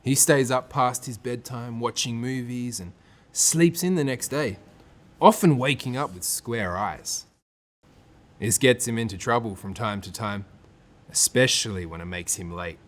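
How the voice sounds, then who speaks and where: An Australian man reads a picture book aloud for children. His middle-pitched voice is highly animated, with expressive swings and clear storytelling phrasing. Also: unhurried. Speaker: storytime presenter and reader.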